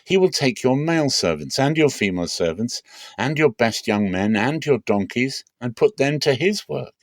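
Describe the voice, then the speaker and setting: A man's voice: medium pitch, lively intonation, moderate pace, no room sound, voice pushed up, close to an everyday voice. Male reader as old prophet, stern, solemn, emphatic warnings, dry sound, dramatic scripture reading.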